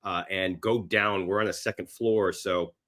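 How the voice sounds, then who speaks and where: A man with a fairly low voice speaks as a guest in a relaxed interview. His delivery is conversational and unscripted, with a self-deprecating, joking streak. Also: normal speed. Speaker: podcast guest; actor and screenwriter. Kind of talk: casual interview chat.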